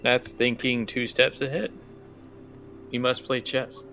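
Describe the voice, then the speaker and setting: A man's voice: medium pitch, flat delivery, takes it slowly, sharp tone, soft background music. A man acting as game master, improvising in character for a tabletop role-playing game.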